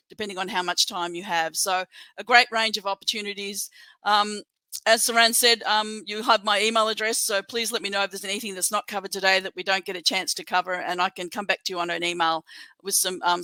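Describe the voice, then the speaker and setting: A woman's voice: mid-range voice, sharp tone, dry recording, poor audio. A middle-aged woman with an Australian accent from Sydney, presenting a tourism webinar in an upbeat, promotional way with frequent ums. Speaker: tourism regional manager.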